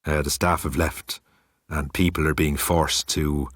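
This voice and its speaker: A man's voice: very deep voice, little pitch movement, unhurried, fairly bright tone, no room sound, studio quality, exaggerated voice. A man voicing a jowly, earnest second in command with a folksy way of talking. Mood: uneasy.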